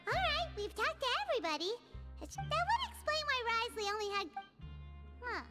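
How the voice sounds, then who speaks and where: A woman voicing a small, excitable, blunt childlike fairy companion in a video game, with a squeaky voice. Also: normal speed. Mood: chatty. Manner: speaks of herself in third person, exclaiming. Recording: loud music under the voice.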